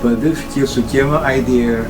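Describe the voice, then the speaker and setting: An older man casually showing equipment unscripted, plain-spoken and halting, with phrases that trail off. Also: mid-range voice, steady tone, over music, low-quality recording. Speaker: collector presenting his tape machines. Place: indoor room full of audio gear.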